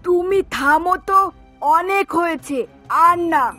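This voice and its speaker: A woman's voice: very high-pitched, unhurried, pushed and bright, full character voice. A woman voicing an exasperated middle-aged mother in a cartoon, complaining and scolding with emphasis. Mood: indignant. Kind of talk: Bengali cartoon voice acting. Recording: music behind the voice.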